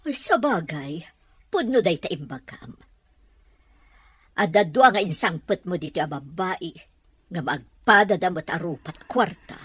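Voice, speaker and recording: A woman's voice: lowish voice, lively intonation, a little slow, long pauses, slightly rough, dry recording. An actress playing a wealthy, haughty middle-aged mother in a radio drama, questioning and exclaiming theatrically. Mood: haughty, probing. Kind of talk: Filipino radio drama acting.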